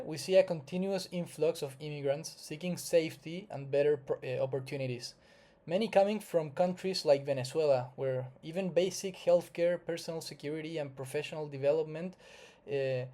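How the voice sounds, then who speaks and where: Male consultant-presenter, Chilean Spanish accent, slightly high yet very mellow voice, earnest and formal explainer read. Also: brisk. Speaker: investment migration consultant presenting. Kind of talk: scripted explainer narration to viewers.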